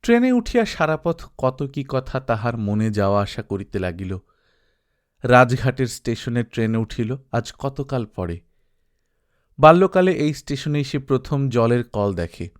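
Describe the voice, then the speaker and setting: A man narrating a literary story as an audiobook, reading expressively and reflectively with dramatic pauses. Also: medium pitch, sing-song, clean tone.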